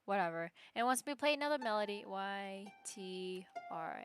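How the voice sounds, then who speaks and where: A woman's voice: mid-range voice, expressive, moderate pace. A woman game streamer chatting casually on a livestream, muttering to herself as she plays over game music. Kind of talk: casual livestream gameplay chat.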